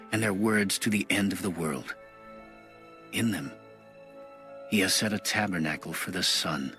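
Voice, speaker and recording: A deep-voiced man reads scripture in a solemn, measured style. His tone is crisp-edged and forceful, formal throughout, with faint music playing behind him. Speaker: scripture narrator. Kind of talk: solemn audio Bible reading.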